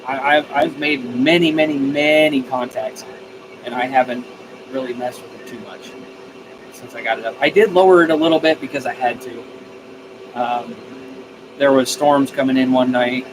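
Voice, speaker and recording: A man's voice: medium pitch, fairly even intonation, moderate pace, background noise. A man chatting casually on a live stream, answering viewer comments and repeating phrases as he thinks aloud. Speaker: live streamer, radio hobbyist.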